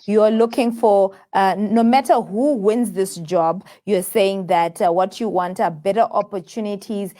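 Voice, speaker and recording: A woman's voice: medium pitch, measured pace, few pauses, dark tone. Hesitant woman hosting a call-in. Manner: hesitant, many fillers and restarts. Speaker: host taking viewer calls. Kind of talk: live show call-in hosting.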